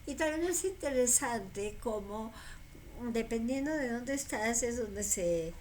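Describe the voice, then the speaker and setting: An older woman, a guest explaining tradition in a conversation, unhurried and telling it like a story, pausing to correct herself. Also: fairly high pitch, lively intonation, a little mellow, slight background noise.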